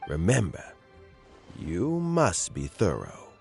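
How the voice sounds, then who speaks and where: A wistful old man from a video game, with a low, gravelly voice. He speaks slowly and reflectively, his pitch rising and falling warmly with age, over faint music. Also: wildly swooping pitch, exaggerated voice. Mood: solemn. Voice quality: creaky aged voice. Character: kindly, wistful old man nearing retirement, fond of fishing.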